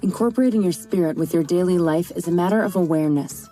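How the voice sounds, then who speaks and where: A woman podcast host reading a scripted introduction of her guest in an even, read-aloud way. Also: fairly low pitch, quick pace, faint music behind.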